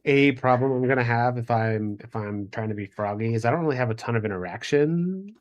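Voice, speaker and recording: Man musing casually, medium pitch. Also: a little fast, lo-fi sound. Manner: hesitant, thinking out loud. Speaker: card game streamer. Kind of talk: casual live-stream chat while building a card deck.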